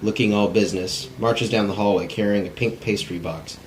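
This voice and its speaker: A man's voice: lowish voice, steady tone, a little fast, crowd noise, low-quality recording. A man reading a script's stage directions as narrator, in the plain manner of a non-actor. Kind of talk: scripted narration at a table read.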